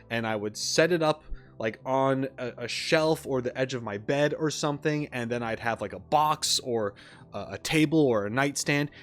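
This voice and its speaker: A man's voice: on the low side, a bit bright. A man hosting casual reaction commentary, chatty and enthusiastic about what he is watching. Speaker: YouTube host and animator.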